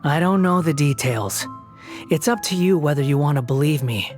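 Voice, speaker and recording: An actor playing an aloof, proud young wandering god in a video game, speaking with a cool, dry, mocking delivery. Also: male voice, a little high, lively intonation, normal speed, faint music behind, lightly acted. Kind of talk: video game cutscene dialogue.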